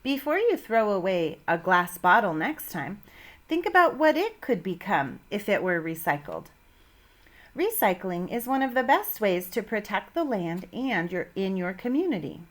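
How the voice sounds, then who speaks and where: A woman's voice: mid-range voice, big pitch swings, moderate pace. A woman teacher giving a read-aloud science lesson to children, with simple, instructive phrasing addressed to her pupils. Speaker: elementary school teacher.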